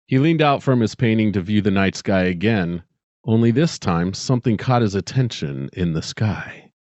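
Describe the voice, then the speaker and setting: A man giving a measured, earnest dramatic reading of a scripted short story. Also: lowish voice, animated, a little mellow, no room sound, dull audio. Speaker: podcast host reading own story.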